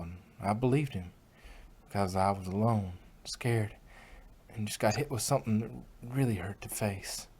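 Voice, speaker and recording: A man's voice: lowish voice, darkish tone. A man voicing an honest, plain-spoken female farm pony with folksy country phrasing in a fan fiction reading.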